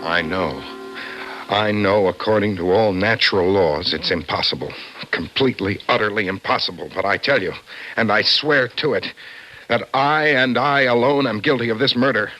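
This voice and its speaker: Radio-play role: guilt-wracked young man, frantic and stammering, low-pitched voice with a sharp, forceful edge. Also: moderate pace, soft background music, rough recording. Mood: desperate, insistent. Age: young adult. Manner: frantic, stammering, repeating himself. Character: distraught, guilt-ridden young man confessing to murder. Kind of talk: mid-century radio drama acting.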